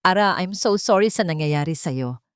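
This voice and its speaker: A woman's voice: lowish voice, animated, moderate pace, smooth, no room sound, clean studio sound, natural voice. Narrator as a scheming, fake-sweet rival woman. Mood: fake pity. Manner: insincere, insinuating.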